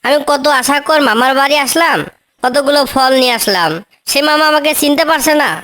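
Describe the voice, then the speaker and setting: A woman's voice: high-pitched, brisk, a bit bright. A woman voicing a small, clever female bird in a children's cartoon, with a coaxing, pleading manner. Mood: pleading, hurt. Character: small clever female tailorbird, helpful, wheedling niece. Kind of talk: children's cartoon story dialogue.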